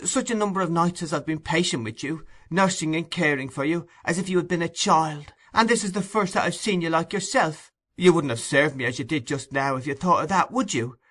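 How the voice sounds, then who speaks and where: A man's voice: lively intonation, brisk, hardly any pauses, bright, forceful tone. A man doing a weary, pleading young woman. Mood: tender, pleading. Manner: tender, pleading, raised pitch.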